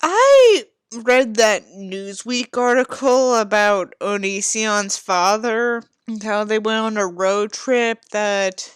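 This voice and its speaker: Theatrical, sardonic woman, high lively voice. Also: slow, few pauses, clean tone, no echo, studio quality. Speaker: host reading a book aloud with commentary. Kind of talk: sarcastic dramatic reading with asides.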